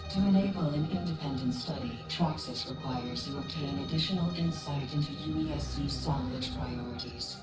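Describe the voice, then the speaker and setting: An actor playing a cool, ruthless corporate representative in a video game briefing, formal, measured and coldly courteous. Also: mid-range voice, natural voice. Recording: roomy echo, distant, very loud music. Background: very loud music.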